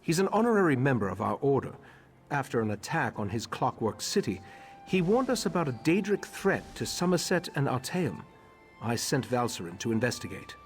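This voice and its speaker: An actor playing a grave, courteous leader of a mystic order in a fantasy video game, speaking formally and with measured dignity. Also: male voice, mid-range voice, sing-song. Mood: pensive, foreboding. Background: background music.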